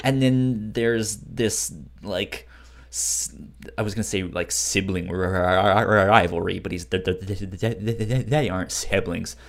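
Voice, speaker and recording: Slow, halting man chatting casually. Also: sits low, vocal fry. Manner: halting, often stammers and repeats word starts. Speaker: podcast co-host. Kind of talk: casual book discussion chat.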